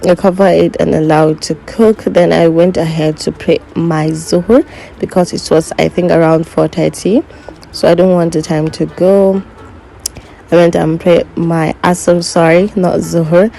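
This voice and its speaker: A young woman who is not a native English speaker, narrating her cooking step by step in an unhurried way and correcting herself as she goes. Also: fairly low pitch, level tone, creaky, dark tone, faint music behind. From Nigeria. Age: young adult. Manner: unhurried, self-correcting, instructive. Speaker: cooking vlogger.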